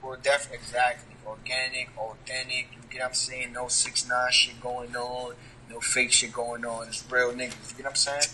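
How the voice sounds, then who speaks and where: A man's voice: medium pitch, monotone, a little fast, hardly any pauses, bright, forceful tone, a little hiss or hum, rough recording. A man, a rapper talking casually in an interview, leaning on street slang and asking "you get what I'm saying?". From New York.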